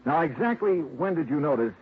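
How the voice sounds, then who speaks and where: An actor voices a dry, wry insurance detective in a 1950s radio mystery. He stays patient, with lively pitch movement in his voice. The broadcast sounds thin and tinny, with faint hiss. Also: a little fast, natural voice. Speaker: insurance investigator lead. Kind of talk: 1950s radio drama acting.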